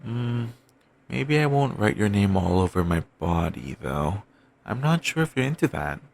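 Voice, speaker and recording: A man doing a low gravelly doting boyfriend. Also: very animated, a little slow, full character voice. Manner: soft, rambling, affectionate murmuring. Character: obsessive doting young adult boyfriend. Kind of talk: roleplay audio, bedtime comfort.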